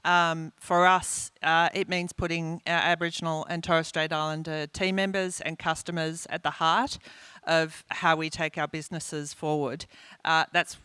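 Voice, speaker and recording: A woman's voice: low voice, level tone, normal speed, pushed and bright, clear, resonant tone, no echo. A woman speaking as a corporate executive on a conference panel, earnest and enthusiastic with many restarts. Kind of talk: panel discussion. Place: conference panel at a live event.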